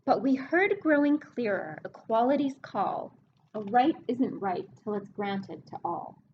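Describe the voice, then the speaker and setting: Lady doing library story time for kids, really animated reading, explains stuff as she goes, kinda muffled lo-fi audio. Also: fairly high pitch, a little slow, slight background noise. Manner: expressive, explanatory, addressing young listeners. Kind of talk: read-aloud picture book storytelling with asides to children.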